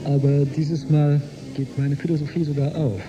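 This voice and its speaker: A man's voice: a little high, level tone, moderate pace, lo-fi sound, background noise, natural voice. A man playing a courteous, idealistic historian with a flirtatious streak in a German radio drama, gallant and slightly pedantic. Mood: earnest, indulgent. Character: courteous, flirtatious, idealistic male historian aboard a space station.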